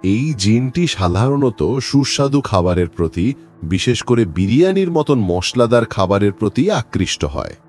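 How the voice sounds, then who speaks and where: A man's voice: lowish voice, big pitch swings, a little slow, darkish tone, faint music behind. Dramatic male horror narrator. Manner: dramatic storytelling with an audience question.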